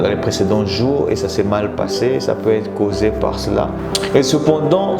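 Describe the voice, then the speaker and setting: A man's voice: medium pitch, creaky. A man from Congo, a public speaking coach hosting a live stream, teaching in numbered points. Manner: teaching in numbered points, urging viewers to share. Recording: echoey room with loud guitar music behind. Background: loud guitar music.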